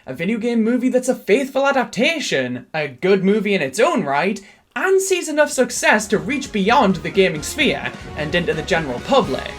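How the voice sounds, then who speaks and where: A woman hosting a scripted, opinionated film review, with expressive, emphatic delivery and sarcastic asides. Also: medium pitch, moderate pace, over music. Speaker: video essay host.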